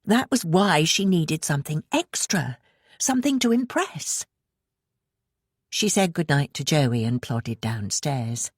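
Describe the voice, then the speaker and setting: A woman's voice: low voice, big pitch swings, slow, husky, no echo, clean studio sound. A woman reading a suspense audiobook as narrator, telling the story expressively and dramatically. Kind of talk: scripted suspense fiction narration.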